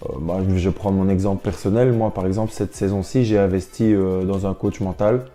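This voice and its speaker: Very mellow, low-voiced man. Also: steady tone, a little fast, soft background music. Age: young adult. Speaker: professional basketball player, interview guest. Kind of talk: casual interview talk.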